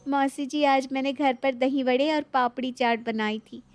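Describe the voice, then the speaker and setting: A woman's voice: high voice, fairly even intonation, moderate pace, clear, resonant tone, natural voice. A woman playing a young, dutiful daughter-in-law in a moral story, speaking politely and earnestly. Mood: respectful. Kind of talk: animated moral story dialogue.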